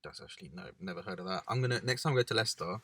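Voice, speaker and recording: Animated man chatting, dry close sound. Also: low-pitched, no echo. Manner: animated, quick storyteller who imitates others. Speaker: podcast co-host. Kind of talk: casual chat. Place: parked car.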